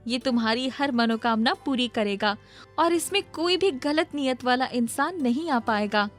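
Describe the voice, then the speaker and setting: A woman puts on a young magical fairy for a cartoon tale. She is caring and commanding, lifted in pitch, with music behind. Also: expressive, moderate pace. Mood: granting, assured. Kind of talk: cartoon moral-story acting.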